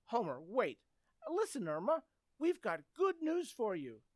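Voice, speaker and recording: Lady doing an excitable teen boy, highish voice, swoops around, old radio comedy, always coaxing. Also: a little slow, no echo, clean studio sound, exaggerated voice. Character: Henry, eager, coaxing teenage boy with bright ideas.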